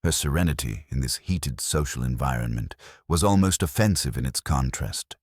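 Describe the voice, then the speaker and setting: A man reading scripted narration of a mystery story in a measured, literary storytelling manner. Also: extremely low pitch, lively intonation, dry recording, studio quality.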